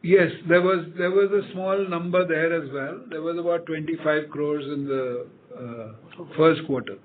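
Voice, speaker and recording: A man with an Indian accent answering questions as an executive on an earnings call, with a halting, measured delivery. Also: on the high side. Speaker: company executive. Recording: tinny phone line with noise.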